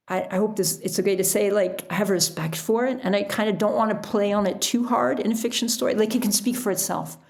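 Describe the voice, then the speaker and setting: A woman talking casually as an interview guest, telling things in an animated storytelling way with illustrative asides. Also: mid-range voice. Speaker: podcast guest, author and sailor.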